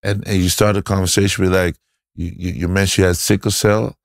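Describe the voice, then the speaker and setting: Male host, deep voice, attentive questioner, dry studio sound. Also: little pitch movement, a little fast, gravelly, no room sound. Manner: attentive, probing questions, frequent affirmations. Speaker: interview host. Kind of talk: conversational interview.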